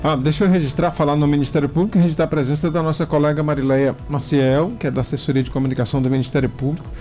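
A man's voice: a little high, level tone, rapid-fire, dark tone. A man hosting a talk show, chatting casually with guests and rambling through memories with many restarts. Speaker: radio or talk show host. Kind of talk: casual interview chat.

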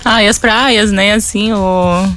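Soft-spoken woman with a faint Alagoas accent. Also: mid-range voice, fairly even intonation, takes it slowly, faint music behind, poor audio. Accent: Alagoas, slight. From Maceió, Brazil. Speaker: guest. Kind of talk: casual interview answers.

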